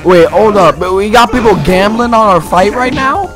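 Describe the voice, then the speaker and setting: A man giving excited, slangy video game commentary as a let's play host, exclaiming and repeating his phrases. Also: high voice, normal speed. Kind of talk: excited gameplay commentary with dramatic reading. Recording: game music behind the voice. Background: fighting game music.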